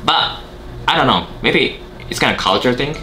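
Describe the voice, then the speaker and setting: A man's voice: mid-range voice, expressive, moderate pace, bright, forceful tone, a little hiss or hum, rough recording. A young man in his twenties with a Japanese accent, co-hosting a casual chat on a couch. He talks in an upbeat way, laughs often and uses plenty of fillers. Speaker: co-host. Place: indoor room on a couch.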